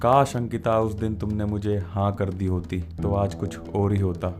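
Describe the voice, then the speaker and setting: A man's voice: on the low side, steady tone, moderate pace, noisy background, lightly acted. An actor playing an earnest, protective young man in love, in a melodramatic story drama. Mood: earnest, regretful. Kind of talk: melodramatic Hindi story dialogue.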